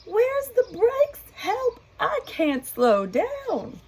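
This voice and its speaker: A woman voicing Molly, a giddy young female cow at the wheel of a truck in a storybook, squealing high with excitement. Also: slow pace, creaky, full character voice.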